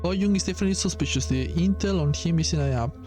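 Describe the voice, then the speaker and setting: Male gamer, high-pitched voice, casual let's-play read-along with music underneath. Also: very fast, mellow. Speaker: gamer playing and narrating a demo. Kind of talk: reading game dialogue aloud with casual commentary.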